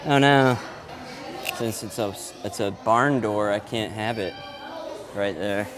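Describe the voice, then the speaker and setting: A man's voice: on the low side, slow. Male live sound tech, casual work-along vlog narration, muttering and exclaiming, noisy on-location audio. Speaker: live sound engineer setting up PA gear. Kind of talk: casual running commentary while working. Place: event venue during setup.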